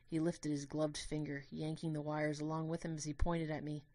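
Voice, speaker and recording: A woman giving an earnest, expressive first-person narration of a dramatic story. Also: low-pitched, normal speed, dry recording.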